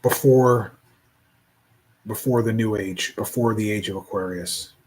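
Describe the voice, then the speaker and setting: A man in his forties speaking in a casual reflective monologue, rambling and halting with many restarts. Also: lowish voice, darkish tone, poor audio. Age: 40s.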